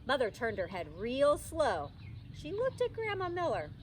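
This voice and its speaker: A woman reading a children's story as a teacher would, in animated narration, recorded outdoors in a park. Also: high-pitched, a little slow, a little hiss or hum.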